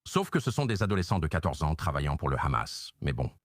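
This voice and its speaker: Deep, self-mocking male interviewer. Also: very animated, fast, dry recording, clean studio sound. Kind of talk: interview clip.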